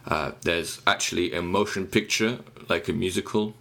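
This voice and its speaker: A man's voice: sits low, steady tone, moderate pace, vocal fry, pushed and bright. A young man from Ukraine with a Ukrainian accent, a musician guest chatting on a podcast, rambling and correcting himself with wry humor. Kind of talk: casual podcast conversation.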